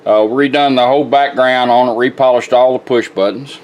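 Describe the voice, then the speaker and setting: A man's voice: mid-range voice, steady tone, a little fast, lo-fi sound. A plainspoken, folksy man giving a casual product demonstration as a radio restorer. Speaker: radio restorer presenting his work.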